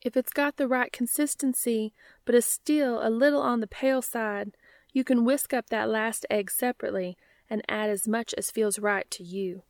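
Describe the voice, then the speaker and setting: A woman reading the part of a calm, precise female baking teacher in a story, explaining step by step. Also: fairly high pitch, normal speed, no room sound, studio quality, natural voice. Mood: instructive.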